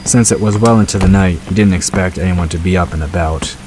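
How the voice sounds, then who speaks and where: A man with a very low voice narrates a scripted story. Rain and vehicle sounds are clearly audible behind him as he reads. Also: fairly quick, background noise. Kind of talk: scripted audiobook-style narration. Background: rain and vehicle sound effects.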